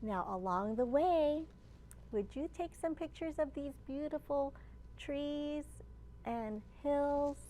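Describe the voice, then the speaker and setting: A woman librarian reading a picture book aloud for young children, with deliberate phrasing and questions put to her listeners. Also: high-pitched, dark tone, slight background noise, rough recording.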